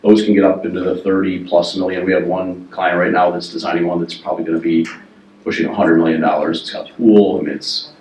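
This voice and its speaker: A man working as a facilities planning consultant, giving a measured, explanatory presentation at a meeting with frequent ums and uhs. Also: sits low, little pitch movement, a little mellow, slight background noise. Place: meeting room. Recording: echoey room, voice from far off the mic.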